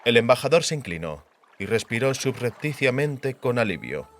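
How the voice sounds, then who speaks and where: A man's voice: medium pitch, sing-song, moderate pace, slight background noise. A man reading scripted narration of a fantasy novel as an audiobook narrator.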